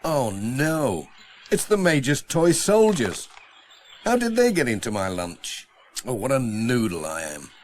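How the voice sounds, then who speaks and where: A male reader plays a kindly, easygoing village postman in a children's storybook. He chats along reassuringly with a very lively, up-and-down pitch, over faint background noise. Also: a little slow. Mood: dismayed. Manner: chatty, reassuring, often talking to himself. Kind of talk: dramatised children's storybook reading. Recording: faint noise, old audiobook transfer.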